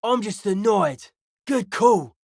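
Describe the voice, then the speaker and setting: A woman voicing a suspicious, aloof young hardcore raver in a video game, speaking in deadpan, clipped, cryptic pronouncements. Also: medium pitch, slow, creaky, no echo, studio quality, full character voice. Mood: deadpan, wary. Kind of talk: video game character dialogue.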